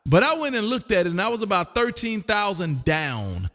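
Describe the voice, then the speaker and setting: An older man around sixty talks in a fairly high-set voice with long reverb around it. He chats in a folksy, rambling way as an amateur radio host, often addressing his listener as "man". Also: sing-song, moderate pace, fairly bright tone, soft background music. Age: older, about 60. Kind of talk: casual rambling radio chat. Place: home radio room. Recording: long echoing room reverb.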